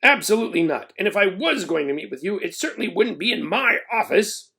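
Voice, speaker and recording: Storybook principal, played by a male reader: pompous, blustering, indignant, high-pitched and snapping, wildly swooping. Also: lively intonation, brisk, gravelly, pushed and bright, exaggerated voice. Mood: exasperated, scolding. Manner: indignant, snapping, emphatic outbursts. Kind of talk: children's storybook read-aloud.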